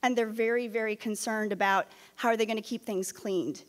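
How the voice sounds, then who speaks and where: A woman's voice: fairly high pitch, fairly even intonation, a little fast. Worried woman in a reverberant boardroom. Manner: worried, heartfelt. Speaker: school board member. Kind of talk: statement at a board meeting. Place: boardroom, indoors. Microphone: desk microphone.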